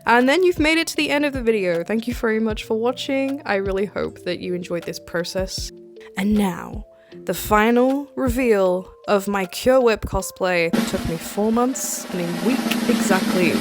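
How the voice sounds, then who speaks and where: A woman chats casually over background music, narrating like a crafty YouTuber. Her voice sits at an ordinary female pitch. She gushes freely and pokes fun at herself in informal, conversational phrasing. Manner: gushing, self-deprecating, informal. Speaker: cosplay YouTuber and host.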